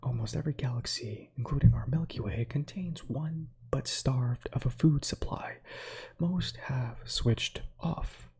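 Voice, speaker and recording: A man in his thirties whose first language is Slovak, whispering softly as an ASMR streamer who reads space facts with chatty, meandering asides to viewers. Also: mid-range voice, measured pace. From United States. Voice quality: breathy whisper.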